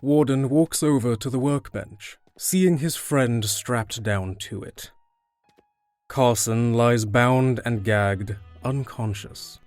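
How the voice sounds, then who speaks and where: A man's voice: mid-range voice, slow, a little mellow, dry recording, faint music behind. A man reading scripted narration for an audio drama.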